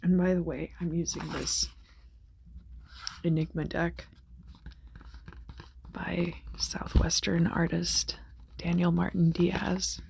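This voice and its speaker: Female ASMR tarot host, low-pitched and slow, whispery delivery, soothing casual chat. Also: little pitch movement, gravelly, slight background noise. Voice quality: whispery, soft. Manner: soothing, unhurried.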